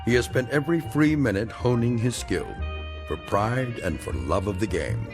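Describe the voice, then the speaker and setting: Actor as an ominous narrator over music. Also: male voice, medium pitch, lively intonation, normal speed, lightly acted. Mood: ominous, knowing. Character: ominous, knowing storyteller of eerie morality tales. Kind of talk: dramatic scripted narration.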